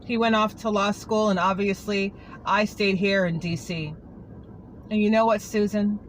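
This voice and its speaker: Heartbroken anchorwoman pouring her heart out to a coworker, earnest and pleading, middle pitch, as a reader puts her on. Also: slight background noise, natural voice, rough recording. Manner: earnest, pleading, confiding to a colleague. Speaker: monologue reader. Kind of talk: dramatic monologue reading.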